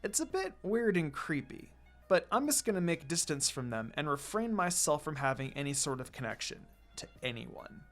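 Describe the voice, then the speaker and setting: A man's voice: a little high, sing-song, normal speed, a bit bright. Man reading as a fiery, exasperated young ninja girl. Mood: wary. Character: fiery, hot-tempered teenage ninja girl. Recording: music behind the voice.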